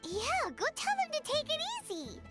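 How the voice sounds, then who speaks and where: A woman voicing a tiny, excitable, childlike fairy companion in an anime video game, with a dramatic, whiny manner. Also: very high-pitched, normal speed, faint music behind, full character voice. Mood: excited. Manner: speaks of self in third person, exclamatory, dramatic.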